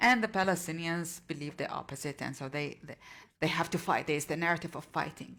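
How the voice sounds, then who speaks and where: A woman's voice: deep voice, fast talker, a bit bright. A woman whose native language is Arabic, moderating a panel and asking earnest, probing questions. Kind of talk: panel interview.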